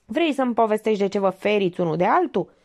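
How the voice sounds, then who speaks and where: A woman voicing a sharp, sarcastic older female amateur detective who needles a suspect with pointed, mocking remarks. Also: mid-range voice, expressive.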